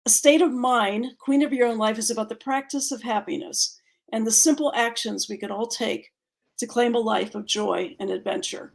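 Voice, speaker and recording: A woman's voice: a little high, fairly even intonation, normal speed, vocal fry. A woman presenting at a ceremony, giving a prepared introduction of guest speakers. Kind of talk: prepared introduction, partly read aloud.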